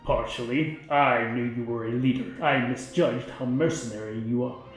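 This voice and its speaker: A man's voice: mid-range voice, normal speed, lightly acted. A man plays a grandiose necromancer villain from a fantasy storybook, icy and contemptuous. His words are drawn out and formal, dripping with disdain. Very heavy echo surrounds him over faint music. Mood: disdainful. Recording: very echoey reverb with faint music.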